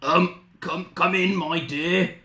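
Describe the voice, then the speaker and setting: A storyteller voicing a big, hungry, sly male wolf with a scratchy growl and drawn-out menace. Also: medium pitch, fairly even intonation, pushed and bright, voice pushed up. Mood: slyly menacing. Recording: roomy, echoing room.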